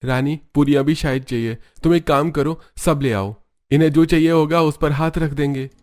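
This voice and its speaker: A man's voice: fairly high pitch, normal speed, a little mellow, natural voice. A man voicing a good-natured village husband who runs a small eatery in a cartoon story, earnest and plain-spoken. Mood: eager.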